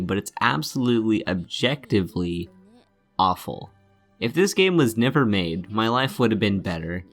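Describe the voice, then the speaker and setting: Sardonic man with a lowish voice. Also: fairly bright tone. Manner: sardonic, scornful, frequently swearing. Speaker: game reviewer. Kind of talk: scripted video review commentary.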